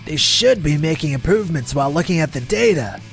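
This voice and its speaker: A man's voice: fairly high pitch, lively intonation, brisk, gravelly, faint music behind. Man acting an arrogant, defensive young manager. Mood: formal, evasive. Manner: indignant, defensive. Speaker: voice actor in manga dub. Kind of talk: dramatized manga story dub.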